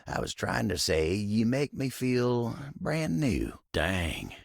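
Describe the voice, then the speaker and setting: Low, gravelly Southern workhorse. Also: male voice, big pitch swings, takes it slowly, no echo, clean studio sound, heavily acted. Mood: warm, upbeat. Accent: American Southern. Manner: folksy drawl, courteous, plain-spoken. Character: living wooden table, folksy, gruff, dependable, bashful working man. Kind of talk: video game character acting.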